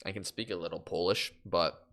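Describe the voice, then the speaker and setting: A man's voice: sits low, steady tone, fairly quick, a bit bright. A young man from Canada, chatting on a live game stream and reacting aloud to results.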